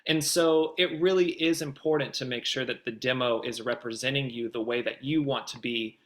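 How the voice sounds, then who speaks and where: Man, mid-range and bright, forward tone. Guest expert answering questions in an explanatory, conversational way. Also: mid-range voice, fairly even intonation, moderate pace. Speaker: voice demo producer, guest. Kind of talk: advice in an online Q&A.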